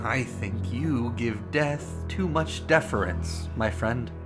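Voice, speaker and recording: An actor playing an imperious, indignant prince who stammers in dread, in a gothic audio drama. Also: male voice, mid-range voice, slow pace, close to an everyday voice. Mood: uneasy. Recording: music behind.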